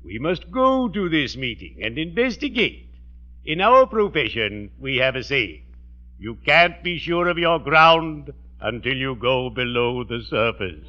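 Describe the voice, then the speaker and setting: Solemn, flowery old-radio undertaker. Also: male voice, mid-range voice, very animated, measured pace, sharp tone. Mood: wry, theatrical. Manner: formal, flowery, lugubriously courteous. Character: genial, morbidly punning male undertaker. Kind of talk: 1940s radio comedy acting. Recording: dull old radio recording.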